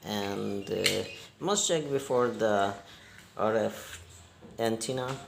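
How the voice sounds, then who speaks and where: A man giving a hands-on repair demonstration in halting, non-native English, step by step. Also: lowish voice, creaky, reverberant, background noise. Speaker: repair technician giving a tutorial.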